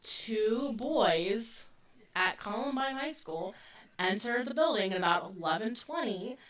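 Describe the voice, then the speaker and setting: Woman, forties, bright tone, chatty true-crime co-host, filler-heavy, a bit far from the mic. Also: a little high, lively intonation, unhurried. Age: 40s. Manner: loose and conversational, with frequent fillers. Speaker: podcast co-host. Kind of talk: casual true-crime chat.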